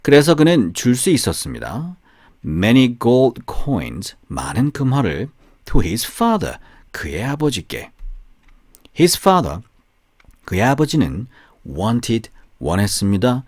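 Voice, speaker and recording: A man narrating a scripted bilingual fairy tale for language learners, deliberately, phrase by phrase, in a storytelling delivery. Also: medium pitch, sing-song, darkish tone, breathy edge, no echo, studio quality. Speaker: audiobook narrator.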